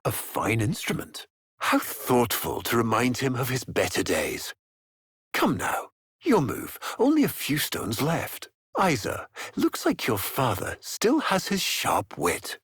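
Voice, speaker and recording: An actor playing a haughty, sinister aristocrat of a fallen empire in a video game, speaking in a theatrical, menacing, hushed manner with a breathy edge. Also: male voice, medium pitch, huge pitch swings, slow pace, exaggerated voice. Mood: mocking. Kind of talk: dramatic video game monologue.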